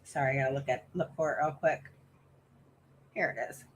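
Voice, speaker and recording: A woman's voice: a bass voice, fairly quick, slight background noise. A middle-aged woman hosting a casual live stream for parents, reading out viewer comments in a frank, talkative way with lots of fillers and restarts. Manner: calls herself a roaring mama advocate. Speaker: host of a live stream for special education parents.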